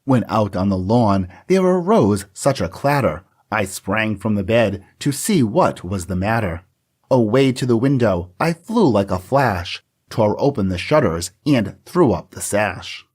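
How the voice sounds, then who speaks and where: A man co-hosting a library podcast, chatting and then reading a holiday poem aloud in a measured, theatrical way. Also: on the low side, animated, darkish tone, slightly rough. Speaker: podcast co-host.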